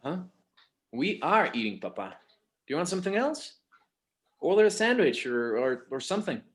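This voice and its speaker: A man playing a patient, slightly exasperated adult son in a screenplay table read, casual and halting, with bits of Spanish. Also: a little high, very animated. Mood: mildly exasperated.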